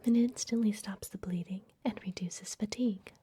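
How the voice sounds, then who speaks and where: A woman playing a secretive, soothing vampire librarian in a fantasy ASMR roleplay, speaking in a soft, breathy whisper close to the microphone. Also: medium pitch. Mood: soothing, instructive. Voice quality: breathy, mostly unvoiced whisper. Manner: soft, gentle, unhurried, confiding.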